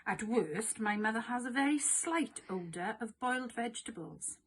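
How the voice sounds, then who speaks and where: A woman's voice: fairly high pitch, animated, normal speed, slight background noise, natural voice. A woman voicing a stern, irritable father with a firm, pedantic manner in a storybook. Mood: muttering, defensive.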